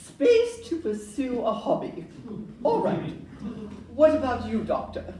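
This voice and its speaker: A woman's voice: on the high side, huge pitch swings, slow, heavily acted. A woman acting a polite, businesslike young Victorian house agent in a live audio play. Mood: brisk, attentive. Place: live performance in a club room. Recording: distant roomy live recording, faint noise.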